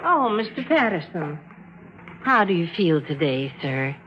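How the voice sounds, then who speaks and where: Fussing cleaning woman, very expressive. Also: fairly low pitch, unhurried. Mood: concerned. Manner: deferential, anxious, hesitant. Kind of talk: 1950s radio drama acting. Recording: muffled, noisy old radio broadcast.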